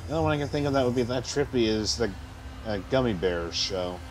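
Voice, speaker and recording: A man streaming a video game, chatting casually with relaxed, chuckling asides. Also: mid-range voice, fast, slight background noise, low-quality recording. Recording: game music behind.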